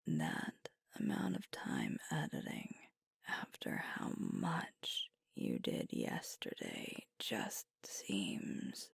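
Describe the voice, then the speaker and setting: A woman playing a sweet, protective, gently firm werewolf girlfriend in an ASMR roleplay, soothing and coaxing in a breathy whisper. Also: rumbling low, huge pitch swings, no echo, studio quality, heavily acted. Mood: gentle concern. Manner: soothing, coaxing, reassuring, deliberate pauses.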